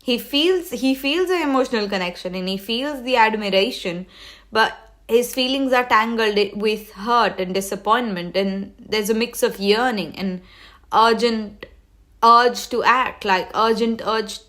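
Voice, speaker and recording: A woman giving a live tarot reading at an unhurried, slow pace. She rambles, restarts her sentences and leans on fillers, with a cold starting to catch her voice. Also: a little high, fairly bright tone. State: coming down with a cold. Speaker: tarot reader hosting a livestream.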